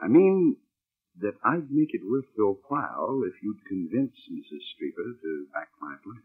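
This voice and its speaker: Scheming radio-drama husband, muffled vintage sound. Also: male voice, fairly low pitch, sing-song, moderate pace, breathy edge. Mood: smoothly menacing. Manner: insinuating, emphatic. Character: scheming, menacing husband and would-be playwright, smooth then impatient. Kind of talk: 1940s radio drama acting. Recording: muffled, band-limited old radio recording.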